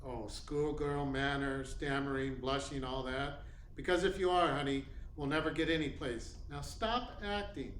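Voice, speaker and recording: A man's voice: mid-range voice, steady tone, normal speed, a bit bright, natural voice. A man playing a hard-boiled, wisecracking private detective in a radio play, sardonic, blunt and casual in manner. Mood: wry, casual. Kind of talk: radio play acting in 1940s noir manner. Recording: roomy, echoey sound with faint noise.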